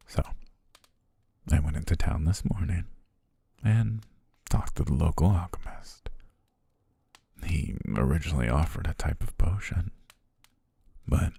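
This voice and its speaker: Guy doing a possessive doting butler asmr, dark mellow voice w gravelly fry, soft and coaxing. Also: no echo, exaggerated voice. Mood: calm, reassuring. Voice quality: very deep, breathy, husky. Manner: slow, gentle, hypnotically coaxing, with pet names. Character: possessive, doting male yandere butler, soothing and controlling. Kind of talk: ASMR roleplay, intimate half-whispered monologue. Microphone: close microphone.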